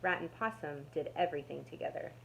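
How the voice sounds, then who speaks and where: A woman's voice: low voice, fast talker, creaky, fairly bright tone, slight background noise, rough recording. A woman narrating a children's storybook aloud as a storytime reader.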